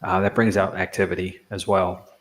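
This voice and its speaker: A man's voice: sits low, level tone, normal speed. A man, a guest paranormal investigator, talking reflectively in a casual podcast conversation, dotting his points with "you know" asides.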